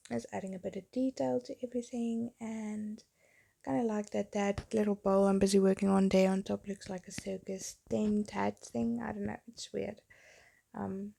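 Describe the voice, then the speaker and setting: Gravelly, mellow-voiced woman narrating her painting. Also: mid-range voice. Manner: rambling, chatty, self-deprecating. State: losing her voice, getting sick. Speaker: artist narrating a painting video. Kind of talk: casual voice-over narration. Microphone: phone.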